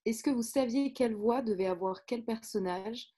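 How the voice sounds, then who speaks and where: A woman's voice: on the high side, moderate pace, dark tone. A woman moderator reading audience questions aloud during a live online talk.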